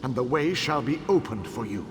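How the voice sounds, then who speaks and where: An actor playing a proud ancient elven king and calculating tactician, speaking in a measured, formal, commanding way in a video game. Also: medium pitch, animated, background noise, natural voice. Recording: long hall reverb with loud ambience.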